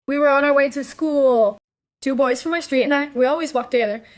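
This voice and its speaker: Casting: scared little schoolgirl under questioning, female performer, high-pitched, pleading and defensive, crime radio play. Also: brisk, natural voice. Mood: explaining. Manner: protesting, pleading. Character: frightened, defensive young schoolgirl.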